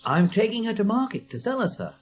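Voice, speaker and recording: A woman's voice: mid-range voice, sing-song, brisk, a little hiss or hum, close to an everyday voice. A woman voicing a naive, earnest young boy in a fairy tale, speaking eagerly and a little plaintively.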